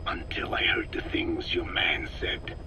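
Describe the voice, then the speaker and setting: An actor playing a cold, older male scientist who lectures slowly in a gravelly whisper, on a game audio tape. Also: background noise, close to an everyday voice. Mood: clinical. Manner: slow, lecturing, measured. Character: cold elderly male parasite scientist, calm and clinical. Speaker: scientist explaining parasites. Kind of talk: game audio log acting.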